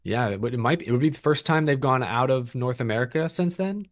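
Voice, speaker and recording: A man's voice: mid-range voice, expressive, fast. A man co-hosting a racing podcast in casual chat, talking loosely with frequent laughs and restarts. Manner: chatty, laughs often, many restarts. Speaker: podcast co-host.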